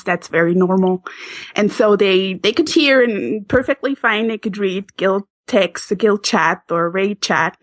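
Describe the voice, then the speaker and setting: A woman's voice: mid-range voice, a bit bright, no echo. A Swiss woman with a Swiss German accent, chatting casually as a podcast guest, laughing often and restarting sentences. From Switzerland.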